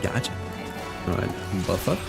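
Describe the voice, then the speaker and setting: A man's voice: moderate pace, loud music behind the voice. A man live-streaming a game, chatting casually and in a friendly way with his viewers while thinking aloud as he plays. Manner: friendly, talks directly to chat, thinks aloud. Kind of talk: casual chat and gameplay commentary for viewers.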